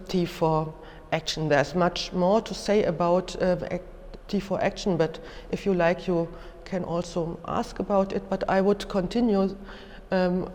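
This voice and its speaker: Slow, halting German-accented woman lecturer. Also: fairly low pitch, mellow. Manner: halting, careful delivery with frequent hesitations. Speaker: museum lecturer. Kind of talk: educational lecture, unscripted explanation. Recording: echoey hall with long reverb.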